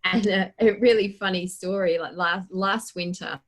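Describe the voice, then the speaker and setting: A woman's voice: lowish voice, fairly even intonation, normal speed. A woman, a performance coach hosting an interview and telling stories in a conversational way.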